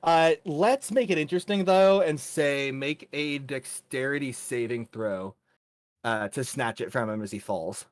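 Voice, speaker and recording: A man's voice: medium pitch, unhurried, no room sound. A man narrating a tabletop game as game master in a casual, chatty, colorful and informal way.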